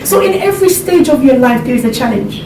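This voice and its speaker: A woman from Jamaica speaks earnestly to a seminar audience, her fairly high voice set back from the mic. The room is echoey, and a steady rumble runs underneath. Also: fast. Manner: earnest, laughs along with the audience. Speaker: motivational speaker. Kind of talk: motivational seminar talk. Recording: echoey hall, distant mic, background rumble.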